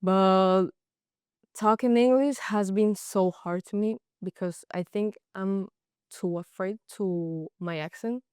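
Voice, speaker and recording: A young woman from Colombia with a Colombian Spanish accent, a native Spanish speaker speaking halting English with frequent restarts in a casual interview. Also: medium pitch, a little mellow, smooth, no room sound, clean studio sound. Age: young adult. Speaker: guest, college goalkeeper.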